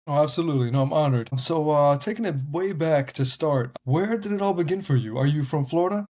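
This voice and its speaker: A man's voice: a little high, brisk, darkish tone. A man hosting a podcast interview, reacting with enthusiasm and asking questions between hesitant fillers. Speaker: podcast host.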